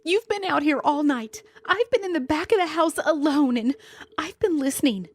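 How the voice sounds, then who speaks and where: Lady playing worn-down nervy wife in old radio horror play, high voice, pleading and bitter, very dramatic. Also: lively intonation, normal speed, a little mellow. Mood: anxious, insistent. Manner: pleading, emotional, melodramatic. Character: frightened, bitter, long-suffering middle-aged wife.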